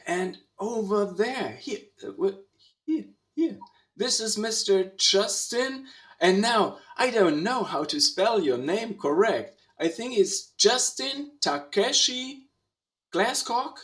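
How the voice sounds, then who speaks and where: A man with a German accent hosting a casual online panel in a deliberate, halting way. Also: high-pitched, expressive, fairly bright tone. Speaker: host. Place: online video call.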